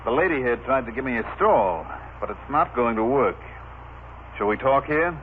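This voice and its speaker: An insolent, wormy grifter played by an actor talks in sneering slang at a medium pitch. The vintage radio drama recording is narrow and hissy. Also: male voice, lively intonation, normal speed, lightly acted. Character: insolent, wormy small-time grifter, a chiseling brother. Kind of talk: old-time radio soap opera acting. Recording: thin, noisy old radio recording.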